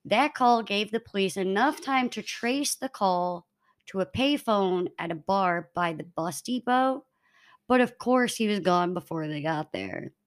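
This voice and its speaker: A woman's voice: mid-range voice, lively intonation, a little slow. A woman co-hosting a podcast, reading out a true-crime case from her notes to her co-hosts. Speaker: podcast co-host.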